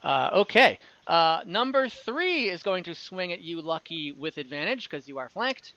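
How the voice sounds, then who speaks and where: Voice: mid-range voice, very animated, normal speed, pushed and bright. A game master running an online tabletop fantasy game, thinking aloud while tallying dice and narrating combat. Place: online voice call. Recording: dull lo-fi mic with faint noise and clicks.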